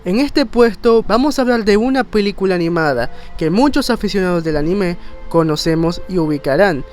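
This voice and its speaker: A man's voice: high-pitched, animated, fairly quick, darkish tone. A man narrates an informal countdown video. He explains things in a wordy, conversational way. Faint music plays behind him. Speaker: video narrator, content creator.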